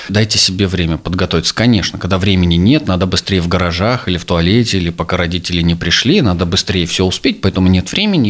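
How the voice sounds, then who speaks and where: A man in his thirties, a psychologist and sex therapist, explaining things in a measured, lecturing way on a podcast. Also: deep voice. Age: 30s. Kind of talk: podcast discussion.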